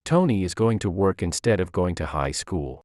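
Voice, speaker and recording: A man's voice: deep voice, expressive, normal speed, darkish tone, no room sound, clean studio sound. A man voicing a sneering, domineering teenage boy bully in a narrated story. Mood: mocking. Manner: mocking, taunting.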